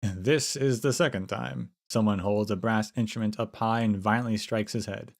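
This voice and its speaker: A man streaming and reading a game's story aloud, relaxed, with chatty asides to viewers. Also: lowish voice, moderate pace, vocal fry. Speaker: streamer narrating a game.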